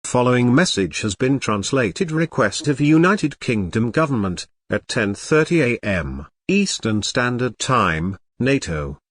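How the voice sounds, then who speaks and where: A man plays a male emergency alert announcer with a formal, synthetic-sounding delivery. The pitch is average and the sound is dry and close, with no room around it. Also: very animated, unhurried, dry recording. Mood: formal warning. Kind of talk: scripted emergency broadcast announcement.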